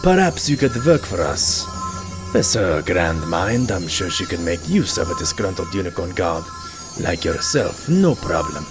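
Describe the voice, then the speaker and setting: A man playing a sly, coolly menacing griffin henchman in a cartoon audio drama, with a smooth, insinuating manner. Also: low-pitched, very animated, a little slow. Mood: persuading. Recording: music playing behind.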